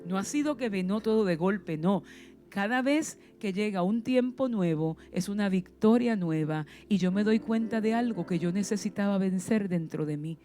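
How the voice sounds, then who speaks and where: Puerto Rican woman preaching, mellow voice. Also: medium pitch, lively intonation, moderate pace, soft background music. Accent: Puerto Rican Spanish. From Puerto Rico. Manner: passionate preaching with rhetorical questions and sudden emphasis. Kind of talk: sermon.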